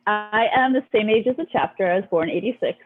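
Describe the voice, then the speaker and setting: A woman in her thirties, a podcast guest, talking in a lively way and laughing as she speaks. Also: mid-range voice, fairly quick. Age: 30s. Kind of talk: casual chat. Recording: dull lo-fi audio with clear noise.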